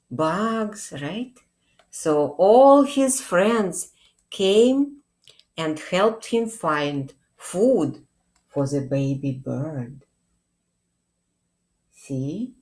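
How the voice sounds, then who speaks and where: A woman in her forties, a children's librarian, telling a picture-book story to young children in a deliberate, expressive way with check-in questions. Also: lowish voice. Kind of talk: read-aloud storytime addressed to young children. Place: small indoor room, plain wall.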